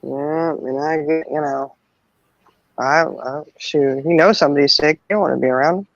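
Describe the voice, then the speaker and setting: An older woman with a gravelly, creaky voice, chatting in a folksy, sympathetic manner. The audio is dull and lo-fi, like a call line. It sounds dry, with no room echo. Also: deep voice, moderate pace. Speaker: co-host. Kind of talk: casual chat.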